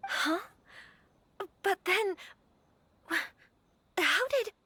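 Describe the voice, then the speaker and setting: A woman voicing a gentle, refined young noblewoman in a video game, speaking softly and politely with some hesitation. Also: very high voice, animated, extremely slow, dry recording, heavily acted. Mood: flustered. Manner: soft, hesitant, sighing, polite. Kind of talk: video game character dialogue.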